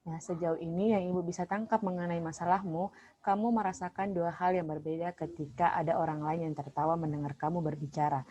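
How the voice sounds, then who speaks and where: A woman playing a counselor in a role-play, calm and gently probing over an online call. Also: fairly low pitch, brisk. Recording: dull lo-fi online call with faint noise.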